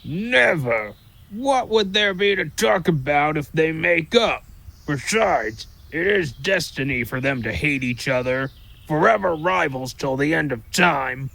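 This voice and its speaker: A man's voice: on the high side, lively intonation, measured pace, vocal fry, pushed and bright, full character voice, poor audio. A man voicing a sly, mean, gossiping squirrel in an audio drama, flippant and boastful as he tells his tales. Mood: dismissive. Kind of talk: audio drama character acting.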